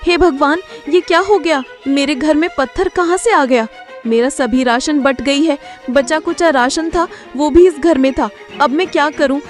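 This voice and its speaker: A woman's voice: sits high, normal speed, soft background music, natural voice. A woman voicing a sly, selfish female fox in a cartoon fable, with a sarcastic, complaining manner. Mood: alarmed, dismayed. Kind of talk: Hindi cartoon fable dialogue.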